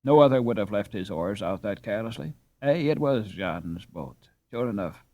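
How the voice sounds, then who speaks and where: A man's voice: on the low side, lively intonation, normal speed, warm tone, no room sound, lightly acted. A man voicing an anxious, plain-spoken Dutch housewife in an audiobook, fussing and soothing in folksy, old-fashioned speech. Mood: dry. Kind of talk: audiobook character dialogue.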